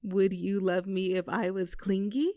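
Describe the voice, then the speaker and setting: A woman's voice: mid-range voice, fairly even intonation, unhurried, dark tone, dry recording, clean studio sound, close to an everyday voice. A woman playing an affectionate, teasing young girlfriend in an intimate roleplay audio, coaxing and giggly in a conversational way. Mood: playful, mock insistent. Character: playful, clingy, affectionate young girlfriend teasing her partner.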